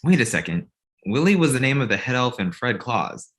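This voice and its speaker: Man playing wry filmmaker with lively pitch. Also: a little fast. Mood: curious. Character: Ryan, glib, self-deprecating aspiring filmmaker. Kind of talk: table read comedy acting.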